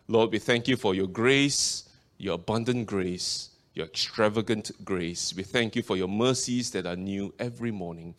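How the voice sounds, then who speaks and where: A man's voice: on the low side, normal speed. A man from Singapore with a Singaporean accent, preaching as a guest speaker, opening in fervent prayer and reading scripture aloud. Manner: earnest, fervent praying with many repeated invocations of the Lord.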